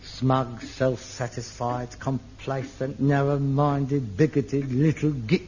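An actor playing a frustrated middle-aged son, theatrical, swinging from wistful muttering to manic ranting in a sitcom. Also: male voice, medium pitch, steady tone, slow, heavy background noise, lightly acted. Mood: scornful musing. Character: frustrated middle-aged son, a rag-and-bone man with grand dreams. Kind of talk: 1970s TV sitcom acting. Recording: dull old TV soundtrack.

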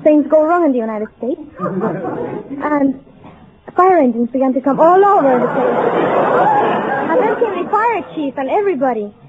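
A young woman from Guatemala answers an interviewer in Spanish-accented English, her voice clearly high. The recording is a tinny old broadcast with noise and a crowd behind her. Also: expressive, moderate pace, long pauses. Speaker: college student contestant.

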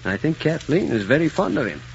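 An actor playing a reasonable, gently persuasive older man with a measured manner in an old-fashioned film drama. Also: fairly high pitch, big pitch swings, vocal fry. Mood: reasoning, sympathetic. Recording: dull, noisy old film soundtrack.

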